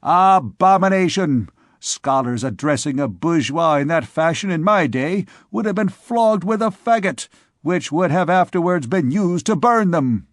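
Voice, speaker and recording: A man's voice: on the high side, expressive, a little slow, no echo, studio quality, pitched above the performer's own voice. A man voicing a short, stout, venerable old bourgeois scolding unruly students in an old storybook tale. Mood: outraged. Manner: indignant, scolding. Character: fat, short old male bourgeois, outraged.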